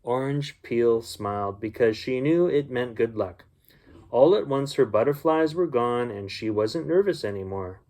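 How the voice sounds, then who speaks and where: A man hosting a children's bedtime story, reading aloud and chatting casually to young listeners in a gentle, homely way. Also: medium pitch, animated, measured pace.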